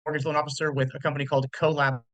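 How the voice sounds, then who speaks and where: A man's voice: on the high side, level tone, very fast, a bit bright, no echo. A man in his thirties, a mortgage loan officer, hosting a live webinar in a loose, chatty way with lots of fillers and restarts. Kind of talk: casual live webinar presentation.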